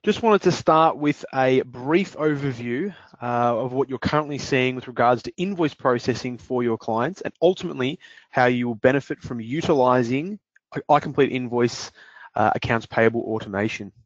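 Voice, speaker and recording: Male presenter, measured explainer, dry and dull lo-fi web audio. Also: mid-range voice, dry recording. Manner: measured, explanatory, some fillers. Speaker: webinar presenter, business development manager. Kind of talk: product demo webinar.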